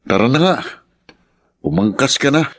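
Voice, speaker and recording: An actor playing a loyal, protective younger brother, earnest and direct, in a radio drama. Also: male voice, mid-range voice, lively intonation, moderate pace, vocal fry. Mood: reassuring, earnest.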